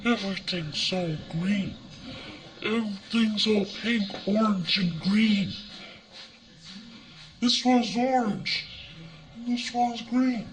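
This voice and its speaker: Male voice, high and very drawn out, voice-changer effect, casual repeated exclamations. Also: slow, pauses often, rough recording. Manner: repeats short phrases. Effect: pitch-shifting voice changer.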